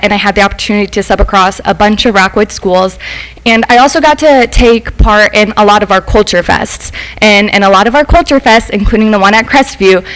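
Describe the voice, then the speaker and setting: Young woman with a medium-pitched voice. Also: rough recording. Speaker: former student and substitute teacher speaking in public comment. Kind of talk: semi-prepared public comment speech. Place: school board meeting room.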